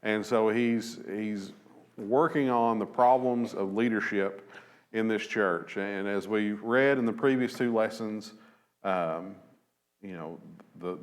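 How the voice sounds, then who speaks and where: A man in his thirties teaching a Bible lesson from a church lectern, measured and thoughtful, with frequent fillers and restarts. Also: fairly low pitch. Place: church auditorium at a lectern, slides behind.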